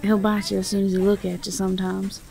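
A woman acts out a young Southern belle who runs a farm in an audio roleplay. Her voice is relaxed and mellow with some fry in it. She talks in a folksy, sweet and quick-witted way. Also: mid-range voice, lightly acted, poor audio. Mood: friendly. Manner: warm, folksy, teasing.

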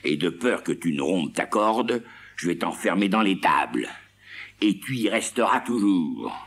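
A man's voice: deep voice, sing-song, a little slow, fairly bright tone, voice pushed up, exaggerated voice. A man voicing an anxious older farmer, fussy and fond of his goat, exclaiming and fretful. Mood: alarmed.

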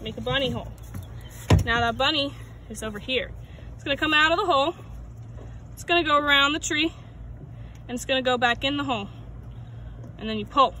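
A woman's voice: high-pitched, brisk, long pauses, bright, forceful tone. A young woman sailing instructor giving a patient, step-by-step demonstration at a lake shore, naming each part as she goes, recorded on a phone close by. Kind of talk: outdoor how-to demonstration. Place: outdoors at a lake shore, standing in shallow water. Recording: outdoor phone recording with clear water and boat noise.

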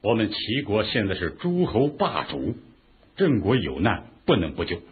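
An actor playing a shrewd, measured chief minister of ancient China, speaking deliberately and huskily in a radio drama. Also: male voice, fairly low pitch, wildly swooping pitch, heavily acted. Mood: firm. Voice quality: husky. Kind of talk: historical radio drama acting. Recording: dull, lo-fi radio drama recording.

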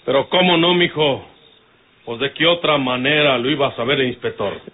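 A man's voice: medium pitch, normal speed, natural voice. An actor playing a stern, suspicious outlaw with a fatherly, folksy, probing manner and a Mexican accent in an old radio drama. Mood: accusing. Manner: probing, folksy, repeats himself. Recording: noisy, narrow-band old radio recording.

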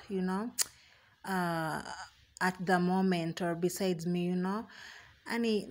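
A woman's voice: lowish voice, a little hiss or hum. A young woman with a Kenyan accent talking casually to her viewers in a home vlog, slipping Swahili phrases into her English. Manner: chatty and warm, mixing in Swahili phrases. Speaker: vlogger.

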